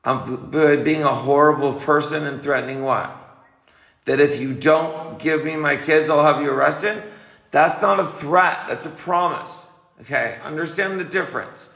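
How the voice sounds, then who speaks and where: Male, higher-pitched with a gravelly edge, confrontational and insistent, one side of a heated phone argument in an echoey room. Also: steady tone, normal speed, fairly bright tone. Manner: confrontational, insistent, repeating himself. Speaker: parent on a phone call.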